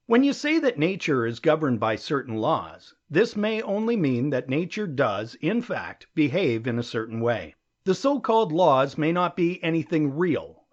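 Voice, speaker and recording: Expressive, higher-voiced man reading an audiobook. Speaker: audiobook narrator. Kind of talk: scripted audiobook narration of an essay. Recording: dull, lo-fi audio.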